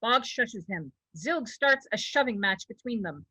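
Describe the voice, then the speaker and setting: A woman's voice: on the high side, animated, measured pace, pushed and bright, slightly rough, low-quality recording. A woman reading scripted stage directions as the narrator of a table read.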